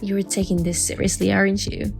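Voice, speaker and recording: A woman's voice: medium pitch, flat delivery, brisk, gravelly, a little mellow, faint music behind, natural voice. A woman playing a teasing, curious, playful young woman in a romantic bedtime audio drama.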